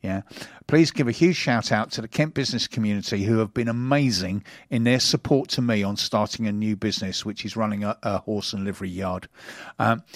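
A man's voice: lowish voice, brisk, no room sound. Chatty male radio host, dry studio sound. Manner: chatty, rambling with fillers and restarts. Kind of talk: casual radio chat.

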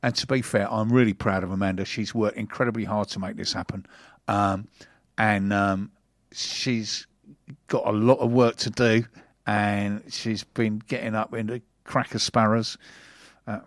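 A man's voice: lowish voice, fairly even intonation, a little slow, dry recording, clean studio sound. A middle-aged man hosting a radio show, chatting casually and rambling with fillers and restarts. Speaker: radio host. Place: radio studio.

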